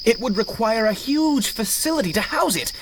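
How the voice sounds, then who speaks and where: An actor playing a brash, earnest, rather pompous novice superhero in a 1930s-style radio adventure, with exasperated delivery. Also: male voice, extremely high pitch, animated, normal speed, a little hiss or hum. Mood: skeptical, exasperated. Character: brash, indignant young male rocket-pack hero, green and stuffy.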